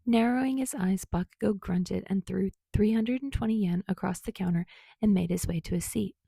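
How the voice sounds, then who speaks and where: A woman's voice: medium pitch, moderate pace, creaky, warm tone, husky, no room sound. A woman reading a story aloud as scripted audiobook narration.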